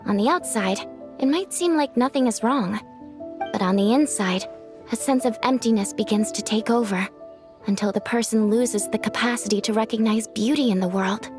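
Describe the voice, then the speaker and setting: Woman playing composed, analytical game therapist, high-voiced. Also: over music, natural voice. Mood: grave, clinical. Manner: measured, explanatory. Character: composed, analytical young female clinic therapist. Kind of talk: animated video game dialogue.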